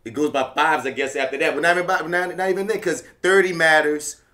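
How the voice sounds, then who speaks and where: A man's voice: fairly high pitch, fast, pushed and bright. A man in his thirties with a New Orleans accent, co-hosting a podcast in casual chat, emphatic and laughing often. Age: 30s. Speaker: podcast co-host.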